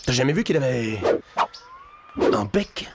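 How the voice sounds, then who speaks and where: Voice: mid-range voice, very animated, a little slow. An actor voicing a sarcastic, bossy cartoon animal. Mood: mocking. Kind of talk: cartoon voice acting.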